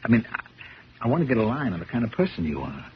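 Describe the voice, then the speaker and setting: A man acts out a kindhearted police lieutenant for 1970s radio drama. He is wry and colloquial, with a very animated mid-range voice. The recording is dull, with some noise behind it. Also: mid-range voice, fast talker, close to an everyday voice. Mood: casual, probing. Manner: colloquial, folksy, wisecracking.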